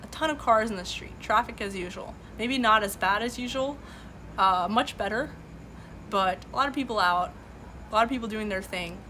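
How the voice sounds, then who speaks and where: A bright, clear-toned woman whose first language is Farsi hosts a casual live stream from a room at home. She talks earnestly, circling back with frequent "um"s and restarts as she answers her chat, with a faint hum of noise underneath. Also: medium pitch, normal speed. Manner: earnest, lots of fillers and restarts. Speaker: livestream host. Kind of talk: casual political commentary, reading chat. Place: home room with boxes behind.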